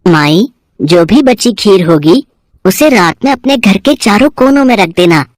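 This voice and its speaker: A woman voicing a calm, sweet boy god in a devotional cartoon story, gently insistent and respectful. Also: medium pitch, unhurried, no echo, studio quality, voice pushed down, close to an everyday voice. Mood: content, blessing.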